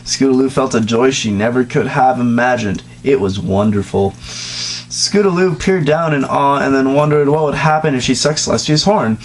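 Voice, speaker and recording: A man at an ordinary, mid-range pitch reads a story aloud for comedy. He keeps a dry, sarcastic tone and stops now and then to mock the writing. A faint hum runs underneath. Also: moderate pace, low-quality recording. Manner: sarcastic, deadpan asides. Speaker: reader and host. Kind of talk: comedic dramatic reading.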